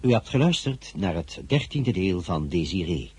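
Male announcer for radio drama credits, low and raspy, lively intonation over music. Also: normal speed.

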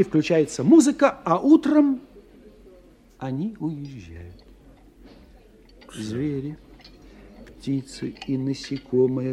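An actor playing an urbane, ironic scientist who philosophizes with wry courtesy in a radio play. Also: male voice, on the high side, breathy edge. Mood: vivid, ironic. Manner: expressive, philosophizing, wryly courteous. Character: urbane, ironic male scientist explaining his theories. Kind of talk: 1990 radio play acting.

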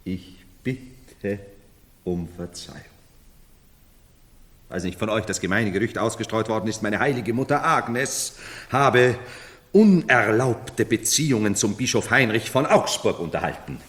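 A brooding self-styled emperor in a lowish voice, imperious and theatrical. He halts, then rushes on, in 1970s radio drama manner, inside a long hall reverb. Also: a bit bright. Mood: solemn, humbled. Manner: theatrical, halting then rushing, solemn. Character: unsettled man who believes himself emperor, brooding, imperious.

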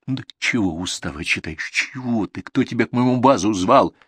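A man voicing a hurried, flustered young village woman who pleads and argues. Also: fairly low pitch, animated, a bit bright, breathy edge, dry recording, pitched above the performer's own voice. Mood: indignant.

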